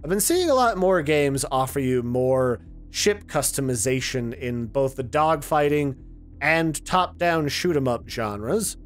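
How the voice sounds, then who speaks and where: A man's voice: medium pitch, animated, measured pace. A man giving casual, chatty and opinionated commentary as the host of a game preview. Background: music.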